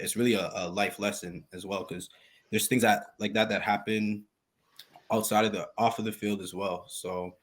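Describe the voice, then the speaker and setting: Man, athlete panelist, low voice, halting, filler-heavy. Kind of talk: casual panel talk.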